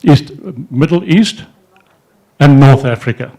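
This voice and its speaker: A man's voice: medium pitch, animated, slow, mellow. An older man telling stories to an audience at an indoor event, speaking into a handheld microphone, rambling with many restarts and fillers. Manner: gestures with his free hand while he talks. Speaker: entrepreneur speaking at a society event. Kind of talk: informal speech to an audience. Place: indoor event room in front of a banner.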